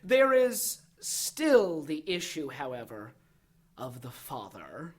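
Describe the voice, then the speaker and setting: A woman playing a sly, sardonic villain's henchwoman in an audio drama, fawning with mocking, theatrical flattery. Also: low voice, slow pace, breathy edge, exaggerated voice. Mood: gleeful scheming.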